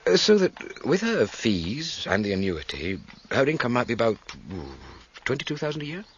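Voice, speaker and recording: An actor playing a methodical British police inspector in a radio drama, dryly polite and formal, questioning with measured insistence. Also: male voice, medium pitch, big pitch swings, muffled recording, slight background noise, lightly acted. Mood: pointed.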